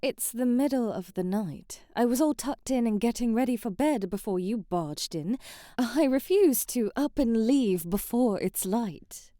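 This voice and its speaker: A woman's voice: a little high, mellow, no echo, clean studio sound. A woman playing a haughty, sheltered young princess in a scripted ASMR roleplay, prim and bratty, with formal, old-fashioned wording and an easily flustered temper. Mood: grudging, haughty.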